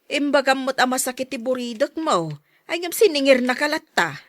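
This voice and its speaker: A woman's voice: high-pitched, animated, moderate pace, fairly bright tone, dry recording, clean studio sound. A woman acting a sympathetic, indignant mother figure in a radio drama, commiserating as she speaks. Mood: indignant sympathy.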